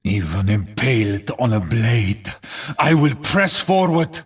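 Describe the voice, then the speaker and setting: An actor playing a battle-hardened, stoic warrior in a video game, declaiming poetic lines solemnly in a gritty, husky voice. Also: male voice, mid-range voice, big pitch swings, slow pace, heavily acted. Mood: fierce, driven.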